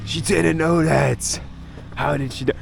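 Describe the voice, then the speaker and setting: A man's voice: on the high side, fairly even intonation, unhurried, vocal fry. A young man from Belgium, rambling casually as he vlogs his travels, swearing now and then. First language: Dutch. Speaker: travel vlogger. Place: outdoors in a city street. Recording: faint street noise outdoors.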